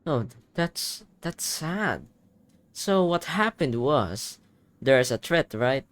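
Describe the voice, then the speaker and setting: A man's voice: mid-range voice, very animated, takes it slowly, no room sound. A man doing casual reaction commentary as he plays through a game's story. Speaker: gamer reacting to a story quest. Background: soft game music.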